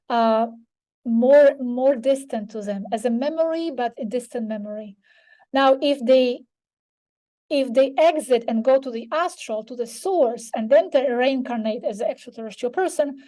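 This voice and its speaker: A woman, slightly hoarse, answering audience questions as a conference speaker, thoughtful and searching for words with frequent restarts. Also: fairly high pitch, dark tone, poor audio. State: slightly hoarse, losing her voice.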